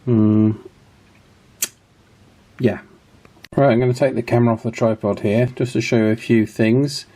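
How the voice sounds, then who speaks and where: A man's voice: mid-range voice, fairly even intonation, moderate pace, dark tone. A man hosting a casual hands-on demonstration, chatting and thinking aloud as he goes. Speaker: host of radio repair channel.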